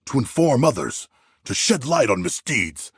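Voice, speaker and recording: An actor playing a huge humanoid shark warrior in a video game, earnest and bloodthirsty, with formal, grandiose and literal-minded diction. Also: male voice, medium pitch, very animated, unhurried, husky, no echo, clean studio sound, heavily acted. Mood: formal, sincere. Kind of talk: video game character voice lines.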